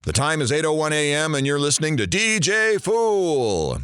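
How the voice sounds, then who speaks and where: An actor playing a sardonic morning radio host in an audio drama, with dry, theatrical sarcasm. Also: male voice, fairly high pitch, animated, moderate pace, a bit bright, clear, resonant tone, no room sound. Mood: dry, sarcastic. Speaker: radio talk show host.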